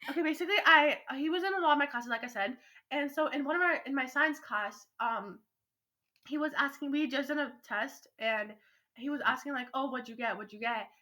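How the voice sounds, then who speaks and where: A young teenage girl chats on a storytime podcast in a high, bright voice. She talks very quickly, rambling and gushing in a giggly, casual way. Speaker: podcast co-host.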